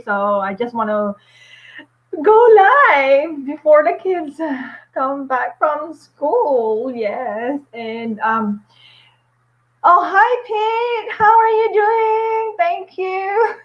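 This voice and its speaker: A woman in her forties, a nurse and vlogger chatting on a casual live stream at home, upbeat, with many fillers and little laughs. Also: high-pitched. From Philippines. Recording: dull lo-fi stream audio.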